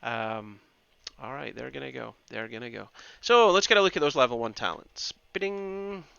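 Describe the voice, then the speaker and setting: A man from the Scottish Highlands with a Scottish accent, casting a game live in a conversational, rambling way and repeating phrases for emphasis. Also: normal speed, creaky, sharp tone, clean tone, no echo, muffled recording. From Scottish Highlands, northeast Scotland. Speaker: esports caster and streamer. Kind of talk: live game commentary with casual asides to chat.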